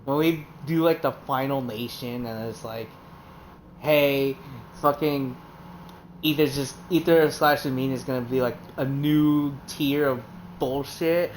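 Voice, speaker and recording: A woman's voice: a bass voice, steady tone, a little slow, darkish tone, slight background noise, rough recording. A woman streaming a video game, rambling in casual commentary, swearing and laughing often.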